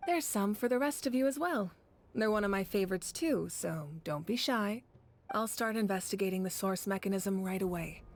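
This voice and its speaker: A woman voicing a calm, dignified leader in a video game, speaking in a measured, formal, kindly manner. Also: mid-range voice. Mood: gracious.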